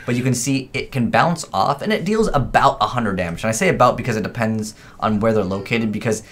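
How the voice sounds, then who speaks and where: A man's voice: mid-range voice, lively intonation, brisk, fairly bright tone, slight background noise. A man hosting a gaming video with casual, informal commentary, rambling a little as he thinks aloud.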